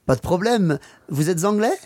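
A man's voice: sits high, expressive, brisk, darkish tone, slight background noise. A man playing a friendly local Frenchman giving helpful directions in a scripted language-course dialogue.

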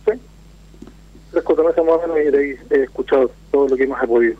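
A man's voice: medium pitch, fast talker. A man from Chile, calling in to a radio show and answering questions in a friendly, plain way. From Temuco, Chile. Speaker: caller. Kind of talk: casual phone-in chat. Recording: tinny phone line.

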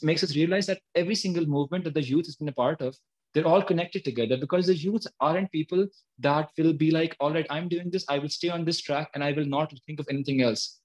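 A man's voice: fairly high pitch, darkish tone, rough recording. A man, talking earnestly and explaining his views as an activist guest in an interview. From Pakistan. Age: early 20s. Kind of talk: spontaneous interview talk.

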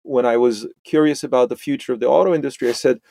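A middle-aged man from Romania, a podcast guest, talking in a halting way with many restarts and repeated words. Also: medium pitch, steady tone, creaky, dark tone. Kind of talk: conversational interview.

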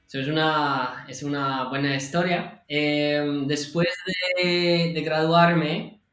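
A man's voice: a little high, fairly even intonation, takes it slowly, fairly bright tone. A man with an American accent speaking Spanish as a guest, telling his story in a chatty way with frequent fillers and restarts. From United States. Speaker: podcast guest. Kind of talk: casual interview storytelling. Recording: roomy echo.

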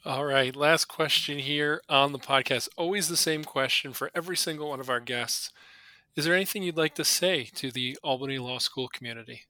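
Upbeat male podcast host, bright and crisp, dry close sound. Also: medium pitch, fairly quick, no echo. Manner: upbeat, laughs along with the guest. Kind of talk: podcast interview.

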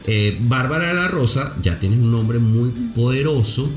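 A man's voice: medium pitch, animated, a little slow. A man hosting a podcast interview, talking at length in an effusive way and explaining marketing terms to listeners. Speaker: podcast host. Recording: echoey room with faint music.

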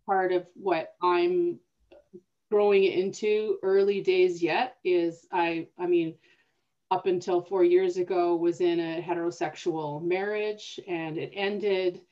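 Woman chatting thoughtfully, slightly low voice. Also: a little mellow, lo-fi sound. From Canada. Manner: chatty, thinking aloud with restarts. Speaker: co-host. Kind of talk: casual conversation over video call.

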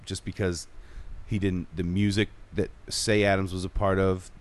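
A man's voice: low voice, moderate pace. A man telling a story in casual podcast chat, acting out the people he quotes. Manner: animated storyteller, acts out quoted voices. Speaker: podcast guest.

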